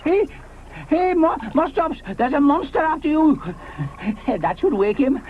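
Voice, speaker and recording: A man voicing a fussy, panicky male ichthyosaur with a shrill, flustered voice in a storybook. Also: lively intonation, measured pace. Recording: tinny lo-fi with faint hiss.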